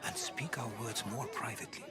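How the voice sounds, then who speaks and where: A man's voice: mid-range voice, steady tone, fairly quick, loud music behind the voice, a loud crowd, lightly acted. A man playing an earnest, cautious adviser in a video game, explaining things carefully. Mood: cautious, advising. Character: Herodotus, earnest, cautious, advising male companion. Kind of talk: video game cutscene acting.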